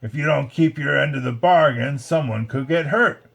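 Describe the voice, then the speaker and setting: A man's voice: fairly high pitch, normal speed, creaky, fairly bright tone, voice pushed up. A man voicing a bullying grasshopper gang boss in a storybook, demanding and threatening.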